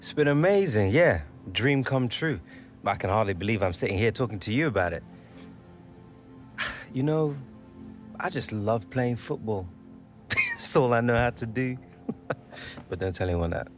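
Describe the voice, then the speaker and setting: An actor playing an earnest, modest young English star footballer in a video game cutscene, speaking casually. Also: male voice, mid-range voice, animated, normal speed, long pauses, natural voice. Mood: modest, happy. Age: young adult. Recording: loud music behind the voice. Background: music.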